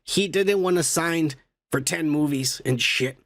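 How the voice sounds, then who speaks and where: A raspy man with a Mexican-American accent, talking loud and crude as he jokes with a co-host. His voice sits high and has a gravelly edge. The sound is close and dry. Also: measured pace, no room sound. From United States. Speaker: podcast co-host. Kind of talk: casual comedic banter.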